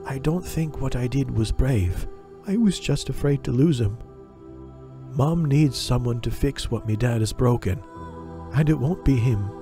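A man's voice: mid-range voice, unhurried, over music, pitched above the performer's own voice, lightly acted. A man voicing an earnest, thoughtful poor boy who dreams of the sea. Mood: wounded, resolute.

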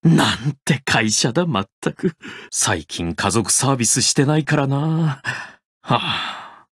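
A male actor playing a harried middle-aged sales manager in a video game, fussy and excitable, with stiffly polite office speech. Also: mid-range voice, slow. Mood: weary. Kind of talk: theatrical Japanese game voice acting.

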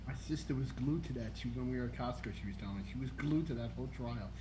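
A man, chatting on a video-call panel and telling stories in a quick, chatty way. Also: mid-range voice. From Queens, New York. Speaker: panel member. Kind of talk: casual chat. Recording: faint background noise.

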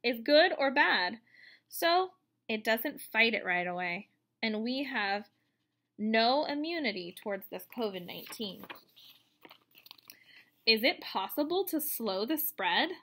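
A woman's voice: a little high, animated, slow, long pauses, sharp tone. A woman in her thirties reading a children's book aloud, explaining simply and expressively. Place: indoors, room at home.

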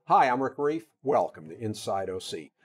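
Animated middle-aged male TV host. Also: fairly low pitch, normal speed, slightly rough, soft background music. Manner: lively, stumbles over fillers when asking questions. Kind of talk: TV public affairs interview. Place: TV studio. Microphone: lapel mic.

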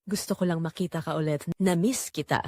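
A woman's voice: on the low side, brisk, a little mellow, no room sound, studio quality, lightly acted. Woman voicing a menacing, obsessed male stalker. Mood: coaxing, menacing.

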